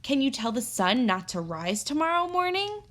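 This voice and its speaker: A woman's voice: high-pitched, sing-song, moderate pace, fairly bright tone, voice pushed up. A woman voicing a little brown squirrel in a children's storybook, persistent and teasing, with a sing-song manner. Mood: doubting. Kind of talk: children's storybook read-aloud.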